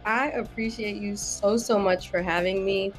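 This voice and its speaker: A woman's voice: mid-range voice, fairly even intonation, moderate pace, faint music behind, poor audio. A young woman from Massachusetts telling her story casually as a podcast guest, informal and wordy, often rephrasing herself. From Massachusetts, United States. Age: young adult. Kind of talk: casual personal storytelling in an interview.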